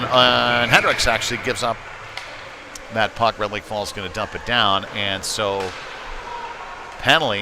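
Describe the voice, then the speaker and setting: Man calling hockey over rink noise. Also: mid-range voice. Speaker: hockey play-by-play announcer. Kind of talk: live sports commentary. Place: indoor ice rink. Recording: noisy live rink stream.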